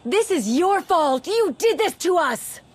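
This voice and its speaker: A woman's voice: very high-pitched, big pitch swings, a little slow, full character voice. An actress playing an indignant, distressed civilian woman in a video game, emotional and plain-spoken. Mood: accusing. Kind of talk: scripted video game drama acting. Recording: faint game ambience and noise.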